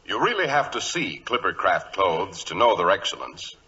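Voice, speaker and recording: A man's voice: deep voice, very animated, normal speed, pushed and bright, soft background music. A man reading a scripted, emphatic sales announcement as a vintage radio advertising announcer. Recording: dull vintage radio recording.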